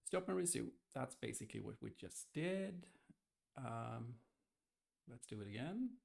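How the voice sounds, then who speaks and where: A man thinking aloud hesitantly as he gives a casual software testing walkthrough. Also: mid-range voice, animated, unhurried, vocal fry. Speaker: software tester demonstrating. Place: indoors, screen-recorded voice-over.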